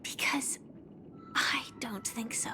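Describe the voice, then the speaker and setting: A woman playing an earnest, resolute young woman in a video game, speaking with deliberate dramatic delivery. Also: in a whisper, lightly acted. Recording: music behind the voice. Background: music.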